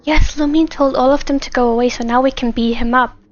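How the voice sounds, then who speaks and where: A woman game streamer with a high voice reacts casually and excitedly to the story. The audio is a bit dull, with soft game music behind her. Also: brisk. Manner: excitable, egging the characters on. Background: game music and dialogue.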